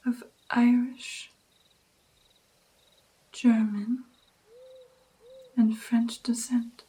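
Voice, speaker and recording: A woman doing whispered ASMR roleplay as a gentle, caring vampire mentor, with an intimate, breathy delivery. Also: fairly high pitch, poor audio.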